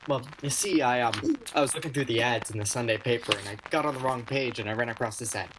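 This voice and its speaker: A man's voice: medium pitch, fast talker, close to an everyday voice. Man playing an earnest, hesitant young clerk, noisy recording. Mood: smitten, confiding. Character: earnest, smitten young male head shop clerk. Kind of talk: radio play acting. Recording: clear background noise, clicks.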